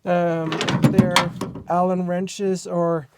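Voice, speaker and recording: A Norwegian man with a Norwegian accent, showing a hitch step by step in a halting way, full of fillers, recorded outdoors on a camera mic. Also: sits high, flat delivery, heavy background noise. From Norway. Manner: halting, searching for words, many fillers. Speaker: DIY vlogger. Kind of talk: hands-on how-to demonstration. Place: outdoors by a pickup truck bed.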